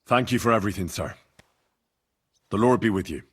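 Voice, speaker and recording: Husky, loyal young squire. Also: male voice, fairly low pitch, fairly quick, no echo, studio quality. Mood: respectful. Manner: earnest, plain-spoken. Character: loyal, plain-spoken young blacksmith serving as page. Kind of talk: video game cutscene acting.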